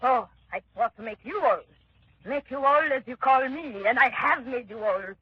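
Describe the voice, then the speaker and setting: A woman's voice: high voice, animated, moderate pace, husky. An actress playing a vengeful, scheming woman who taunts her victim, in 1930s radio drama style, on a dull old broadcast. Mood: gloating. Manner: taunting, theatrical.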